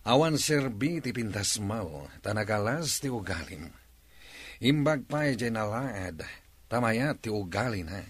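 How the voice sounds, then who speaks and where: A man's voice: lowish voice, animated, measured pace, breathy edge, dry recording. A young man acting a blunt single office worker in an Ilocano radio drama. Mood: scornful.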